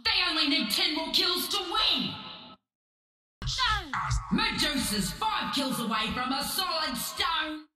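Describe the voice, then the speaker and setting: A woman's voice: animated, slow pace, long pauses, bright, forceful tone, distant mic, heavily acted. A woman voicing a brash, mocking warrior goddess who announces video game matches in a boastful, theatrical, taunting way. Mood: rousing. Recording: booming echo over game music.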